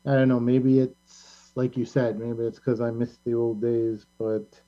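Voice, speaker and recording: A man hosting a casual pop culture discussion, talking in a halting, rambling, opinionated way. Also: mid-range voice, completely flat delivery. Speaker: talk show host. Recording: band-limited, dull lo-fi sound.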